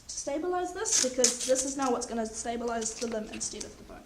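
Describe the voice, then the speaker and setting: Young woman, paramedic student, high voice, hoarse from losing her voice, recorded far off in an echoey room. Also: brisk. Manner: calm, instructive, talks the patient through each step. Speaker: paramedic student in a practical exam. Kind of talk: narrating a clinical skills demonstration. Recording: echoey, distant, noisy room.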